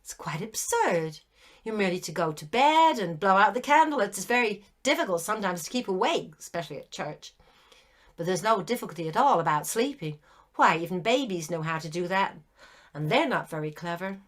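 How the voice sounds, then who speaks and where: A woman's voice: medium pitch, expressive, a little fast, lightly acted. A woman reading a story aloud, voicing an earnest young girl who scolds with prim indignation. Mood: brisk.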